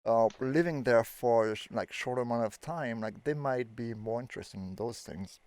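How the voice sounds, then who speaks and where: A man's voice: medium pitch, normal speed, darkish tone, no room sound. A man in his thirties from France with a French accent, chatting casually as host, rambling with lots of fillers and the odd swear. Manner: rambling, filler-heavy, swears when surprised. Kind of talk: casual chat.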